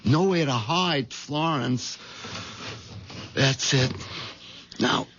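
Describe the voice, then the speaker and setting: A man's voice: medium pitch, drawn-out words, fairly bright tone, a little hiss or hum. A man acting a nervous, hesitant American husband caught up in a murder plot, in a 1970s radio drama. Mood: tense, shaken. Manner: hesitant, stammering under pressure. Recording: dull vintage radio recording with music behind.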